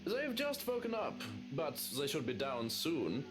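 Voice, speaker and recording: A man's voice: fairly high pitch, huge pitch swings, measured pace. A man voicing a snide, dryly polite butler in a visual novel, with music behind him. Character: cool, dry male butler and personal assistant.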